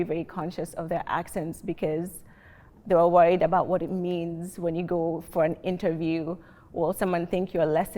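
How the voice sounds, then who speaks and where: A woman's voice: on the low side, dark tone. A woman from Kenya with a Kenyan accent, thoughtfully sharing her experiences as a panelist in a discussion. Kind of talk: reflective discussion. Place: large library reading room. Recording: long reverb of a large hall.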